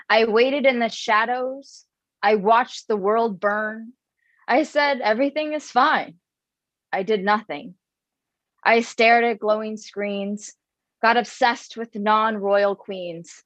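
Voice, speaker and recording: A woman performing spoken word poetry at an open mic, reciting in a measured, deliberate way. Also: fairly high pitch, a bit bright, no room sound. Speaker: poet performer. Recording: dull lo-fi sound.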